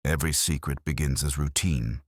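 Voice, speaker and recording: A man's voice: a bass voice, steady tone, fairly quick, dry recording, clean studio sound. A man voicing an incisive, cool-headed detective in an audiobook story, speaking in precise, theatrical pronouncements. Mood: measured, assured. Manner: precise, declarative, aphoristic.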